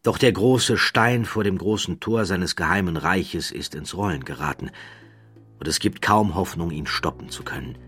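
Low husky guy reading an audiobook, slow dramatic storytelling, deep voice. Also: a bit bright, no echo, faint music behind. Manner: measured, dramatic storytelling with rhythmic lists. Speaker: audiobook narrator. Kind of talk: scripted documentary narration.

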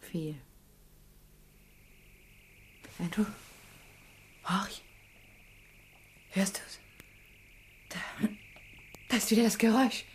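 A woman's voice: medium pitch, slow, long pauses, whispered, close to an everyday voice. An actress in a German radio play, playing an anxious, frightened woman who speaks in a hesitant, pleading, emotional way. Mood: anxious, hushed. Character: anxious, frightened woman clinging to her companion.

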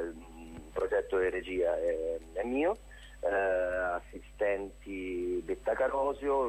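A man giving a casual, unscripted interview as a theatre director, halting and often repeating his words. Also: deep voice, darkish tone, slightly rough, low-quality recording. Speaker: theatre director, radio guest.

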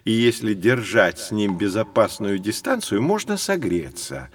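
An actor playing a learned teacher who explains history to children in a storytelling manner, in an educational audio drama. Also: male voice, fairly low pitch, big pitch swings, a little slow. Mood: explanatory.

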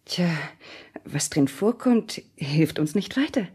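An actress playing a brisk, unhelpful bookshop saleswoman in a German radio play, curt and matter-of-fact. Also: on the low side, expressive, dark tone, breathy edge, full character voice.

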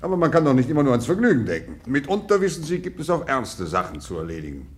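An actor playing a reserved, self-important Russian general in a radio drama, formal and condescending toward others. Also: male voice, medium pitch, huge pitch swings. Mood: dismissive, wary. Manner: formal, dismissive, measured condescension. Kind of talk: German radio drama acting.

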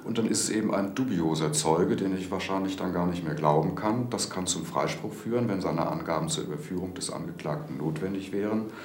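A man speaking as a legal expert, explaining things slowly and deliberately. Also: low voice, a little mellow. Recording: echoey room with faint noise.

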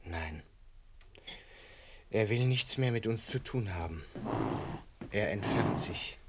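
An actor playing an earnest, awed lodger in a radio drama, grave and halting, with a breathy, whispery voice. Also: male voice, on the low side, animated, a little hiss or hum, full character voice. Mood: pensive, hesitant. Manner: halting, hushed, grave, deliberate. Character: earnest male lodger and butterfly breeder, awed by dread. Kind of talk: German radio drama acting.

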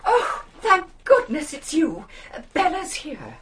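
A fluttery English spinster in a radio play. Her highish voice swoops up and down as she gushes, dithers and fusses. She comes across as well-meaning and easily flustered. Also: huge pitch swings, takes it slowly, a bit bright, slight background noise, exaggerated voice. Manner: gushing, dithering, exclamatory. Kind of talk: radio drama acting.

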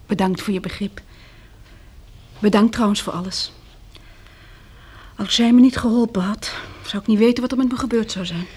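An actress in a radio drama playing a poised, frank young woman survivor, worldly and self-mocking, in soft, intimate dialogue with a husky, breathy voice. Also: mid-range voice, moderate pace, long pauses, natural voice. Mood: grateful, wistful.

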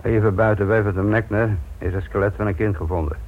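An actor playing a stubborn, bitter police inspector in a Dutch radio play, speaking curtly and insistently. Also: male voice, lowish voice, fairly even intonation, fast talker, natural voice. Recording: muffled lo-fi radio drama recording, faint noise.

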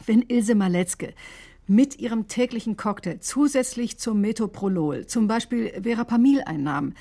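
An actress playing an articulate, quietly insistent woman doctor in a radio drama, precise and questioning. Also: fairly high pitch, animated, moderate pace. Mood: analytical. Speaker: doctor accused in a criminal case. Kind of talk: German radio drama acting.

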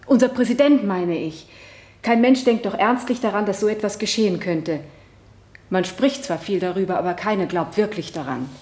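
A woman's voice: mid-range voice, normal speed, a little mellow, reverberant, lightly acted. An actress playing the earnest wife of an accused man, giving simple, sincere testimony in a German radio drama. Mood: earnest recounting.

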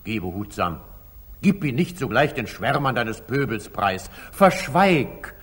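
An actor playing a magnanimous older sultan in a 1940s German radio drama, speaking stage verse in a stately, declamatory way. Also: male voice, mid-range voice, very animated, measured pace, breathy edge, heavily acted. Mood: calm authority. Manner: stately, declamatory, swinging from soft to emphatic. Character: magnanimous older sultan, commanding yet indulgent, ruler of Jerusalem. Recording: slightly muffled 1940s radio studio recording.

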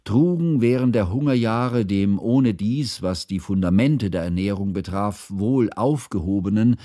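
A man acting as a learned, devoted bourgeois biographer in a radio drama, narrating in a formal, ornate and deliberate way. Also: lowish voice, darkish tone, no echo, studio quality. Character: learned, devoted bourgeois biographer recalling his composer friend.

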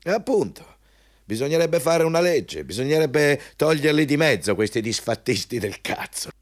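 A man's voice: fairly high pitch, big pitch swings, moderate pace. An actor playing a pompous, overbearing male company boss in a radio drama, hectoring and self-important. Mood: ingratiating, griping. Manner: pompous, hectoring, switching between scolding and ingratiating. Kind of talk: Italian radio drama acting.

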